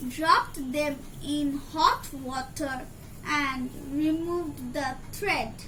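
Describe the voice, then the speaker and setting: A woman reading a children's story aloud in a deliberate, phrase-by-phrase storytelling delivery. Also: high voice, bright, forceful tone. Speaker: storybook reader. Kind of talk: scripted storybook narration for children. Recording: clearly noisy amateur recording.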